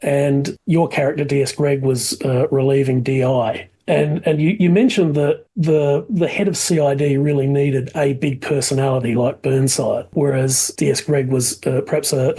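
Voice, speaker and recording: A man hosting a podcast interview in a conversational, thoughtful and halting way. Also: medium pitch, mellow, slightly rough. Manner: hesitant, halting, thoughtful phrasing.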